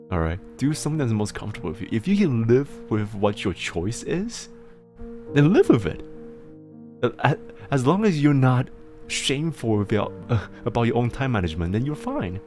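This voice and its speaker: A man's voice: medium pitch, a little mellow. A young adult man hosting a casual stream where he gives advice, rambling and giggly, with frequent laughs and restarts. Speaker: host giving advice on submitted struggles. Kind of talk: casual livestream advice chat.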